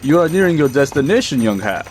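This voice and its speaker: A man as a loud, swooping coach driver. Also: mid-range voice, sing-song, quick pace, over music, close to an everyday voice. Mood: loud, brusque. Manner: loud, calling out. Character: brusque coach driver calling out to passengers. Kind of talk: 1930s radio drama acting.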